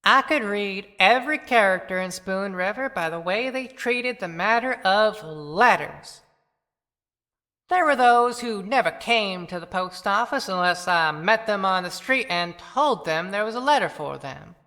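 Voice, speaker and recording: A high, bright voice in a long reverb gives us a reflective small-town postmaster, played by a man. It is an observant storytelling monologue from an audio play. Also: expressive, unhurried, full character voice. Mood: musing.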